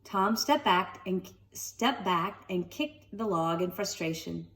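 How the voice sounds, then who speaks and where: A woman reading a children's story aloud at home with expressive narration and casual asides. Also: lowish voice, slow pace, distant mic. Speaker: storybook reader. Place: sunroom at home.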